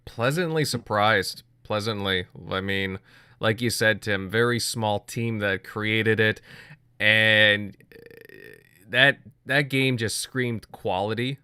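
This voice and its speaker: A man on a gaming podcast panel, giving his views in a relaxed way, pausing on "uh" as he thinks. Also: lowish voice, clear, resonant tone, no room sound, clean studio sound. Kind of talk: casual chat.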